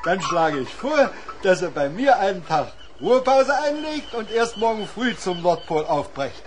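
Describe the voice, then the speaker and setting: Old lighthouse keeper guy, high lively voice, hearty and folksy, some noise behind, kids radio play. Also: unhurried. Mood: hearty, welcoming. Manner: hearty, chuckling, folksy exclamations. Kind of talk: children's radio play acting.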